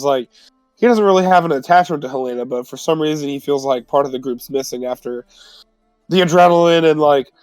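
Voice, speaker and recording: A man's voice: fairly high pitch, a little mellow, smooth, dry recording. A man playing a tabletop roleplaying game, casually describing what his character does in informal, sweary talk.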